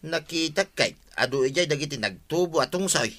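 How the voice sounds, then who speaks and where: A man's voice: fairly high pitch, lively intonation, a little fast, fairly bright tone. A man acting a scheming, cheerful village vendor with exclamatory, wheedling delivery and an Ilocano accent in a comedy radio drama. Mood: coaxing. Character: scheming, cheerful village man hawking dubious snails and greens.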